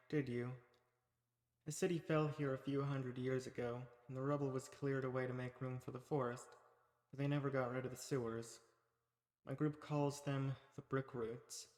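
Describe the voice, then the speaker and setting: A man's voice: medium pitch, a little mellow, natural voice. A man playing a folksy undercover rebel among monster hunters in a roleplay audio drama. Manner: folksy, reassuring. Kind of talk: scripted roleplay audio drama addressed to the listener.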